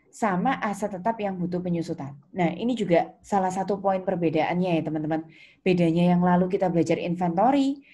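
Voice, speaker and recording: Lower-pitched Indonesian woman lecturer. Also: warm tone. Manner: conversational, checks understanding with questions. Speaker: accounting lecturer. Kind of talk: informal explanatory lecture to students.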